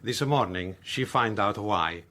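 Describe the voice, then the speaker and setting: A man playing a courteous, grave Italian who speaks halting, slightly broken English in a radio drama. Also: fairly low pitch, sharp tone. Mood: grave, measured.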